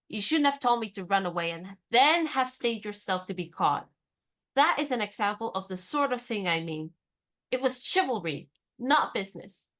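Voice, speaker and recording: Woman doing a spirited young heroine, bright, brisk, teasing, confident, radio play. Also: fairly high pitch.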